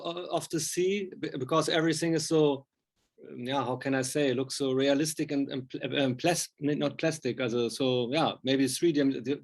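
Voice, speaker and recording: A man who is a native German speaker, asking conversational interview questions and hesitantly searching for words. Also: fairly high pitch.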